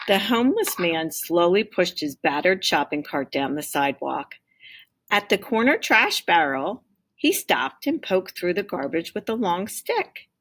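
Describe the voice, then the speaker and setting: Female teacher, lower register, raspy edge. Expressive storybook read-aloud with teaching asides. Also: a little slow. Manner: expressive, acts out dialogue. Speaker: teacher reading aloud to pupils.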